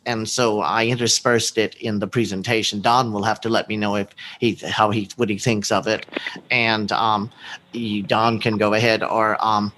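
A man co-hosting a book discussion, explaining things to the group in a measured, informal presenting manner. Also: on the low side, level tone, fairly bright tone, a little hiss or hum, low-quality recording.

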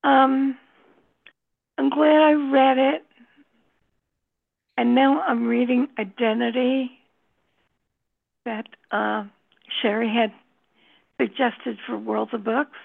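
A woman in a book group sharing her thoughts on a novel, slow and hesitant with many pauses. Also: fairly high pitch, fairly even intonation, creaky. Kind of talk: casual discussion. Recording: tinny phone line.

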